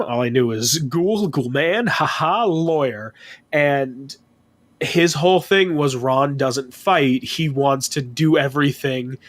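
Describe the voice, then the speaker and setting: A man with a stuffy nose, chatting on a podcast about his tabletop characters, animated and full of swearing. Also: fairly high pitch. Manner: animated storytelling, swearing, quick asides. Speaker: podcast guest. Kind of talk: casual chat about tabletop gaming.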